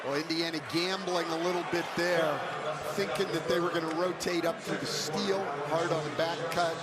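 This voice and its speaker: A man's voice: a little high, fairly even intonation, moderate pace. A man giving analysis as a color commentator during a live basketball broadcast. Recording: loud arena crowd behind.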